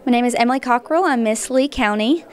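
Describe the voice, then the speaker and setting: A young woman from Mississippi introducing herself as a pageant contestant, gushing warmly about her family. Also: a little high, quick pace. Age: young adult. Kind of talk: casual self-introduction.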